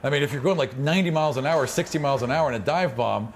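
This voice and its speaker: A man improvising colloquial, animated narration as game master of a tabletop fantasy game. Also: medium pitch, racing. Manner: animated, graphic, colloquial storytelling. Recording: noisy room recording. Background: clearly audible background noise.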